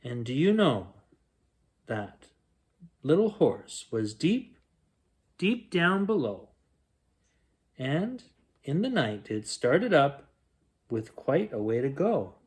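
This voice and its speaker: A man reading a rhyming picture book aloud as a bedtime story, in a gentle, expressive storytelling manner. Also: medium pitch, takes it slowly, long pauses. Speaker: children's bedtime story reader.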